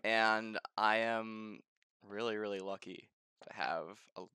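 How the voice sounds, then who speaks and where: A man's voice: lowish voice, steady tone, slow pace, sharp tone, clear, resonant tone, no room sound. A young man in his twenties hosting a casual interview, talking in a rambling way with many restarts, recorded on a headset mic in his bedroom. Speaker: podcast interviewer. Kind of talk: casual interview over voice call. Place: bedroom, indoors. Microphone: gaming headset mic.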